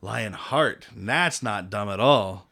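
A man's voice: on the low side, takes it slowly, creaky, pushed and bright. A man co-hosting a casual movie podcast, retelling scenes with frequent swearing and laughter. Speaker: podcast co-host. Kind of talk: casual movie recap chat.

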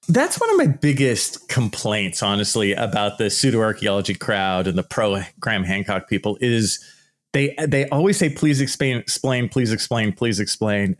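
A man with a naturally loud, shouty voice, ranting casually and animatedly in a vlog about archaeology comments. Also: lowish voice. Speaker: archaeology YouTuber.

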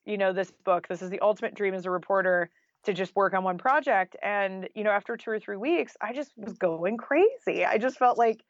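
A woman's voice: mid-range voice, a little fast, no echo, lo-fi sound. A woman talking casually as an interview guest, chatty and anecdotal, with lots of conversational filler. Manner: chatty, anecdotal, with frequent "like" and "you know". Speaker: podcast guest, freelance journalist and author.

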